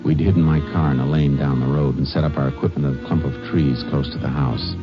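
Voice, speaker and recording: A man in a 1950s radio drama who narrates and plays a steady, terse lawman, a ranger giving orders. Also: extremely low pitch, fast, over loud music. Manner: terse, level and commanding. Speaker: narrator and lead. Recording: dull lo-fi old radio broadcast.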